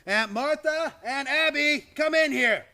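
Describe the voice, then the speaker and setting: An actor playing a frantic, exasperated nephew in a radio play, agitated and flustered. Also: male voice, very high voice, measured pace, sharp tone, exaggerated voice. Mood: exasperated alarm.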